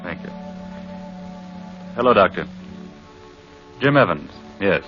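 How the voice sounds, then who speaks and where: A man's voice: deep voice, lively intonation, slow. An actor playing an earnest, doting husband and father in an old-time radio drama. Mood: polite, earnest. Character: earnest, doting husband and adoptive father, gentle but exasperated. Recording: muffled old radio recording, music behind.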